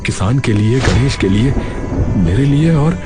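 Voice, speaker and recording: A man's voice: medium pitch, lively intonation, measured pace, natural voice. A man voicing an earnest village man in a moral story, speaking in a dramatic, colloquial, exclamatory way. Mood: amazed. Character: earnest adult village men, friends sharing secrets. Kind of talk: Hindi moral story voice acting. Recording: clear music bed behind voice. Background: music.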